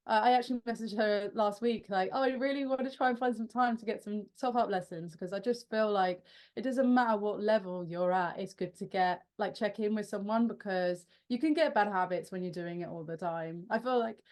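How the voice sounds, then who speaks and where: A woman's voice: mid-range voice, brisk, darkish tone. A young woman, the frontwoman of a rock band, answering interview questions in a chatty, self-deprecating way with frequent restarts and laughs.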